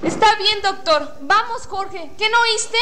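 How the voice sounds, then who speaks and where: A woman's voice: very high voice, takes it slowly, bright, forceful tone, heavily acted. A woman playing an anxious, indignant, overprotective mother in an agitated, theatrical student radio drama. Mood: anxious, pleading. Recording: faint noise, amateur classroom radio recording.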